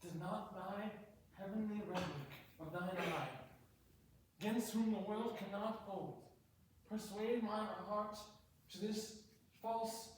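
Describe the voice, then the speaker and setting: Echoey and noisy, man acting as lovestruck young lord, high pitch, fervent, declamatory, slow Shakespearean verse on stage. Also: steady tone. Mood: pleading. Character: earnest lovestruck young lord reciting his sonnet. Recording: very echoey, distant, noisy room.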